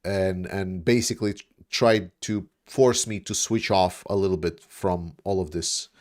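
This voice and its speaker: A man's voice: low voice, fairly even intonation, measured pace, darkish tone. Casual man on livestream. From Latvia. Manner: rambling, with many fillers and restarts. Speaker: livestream host. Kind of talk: casual livestream chat answering viewer questions.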